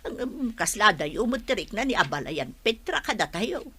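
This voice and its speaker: A woman's voice: mid-range voice, very animated, moderate pace, bright, forceful tone, slightly rough, full character voice. A woman playing a worried, complaining middle-aged village mother in a Filipino radio drama.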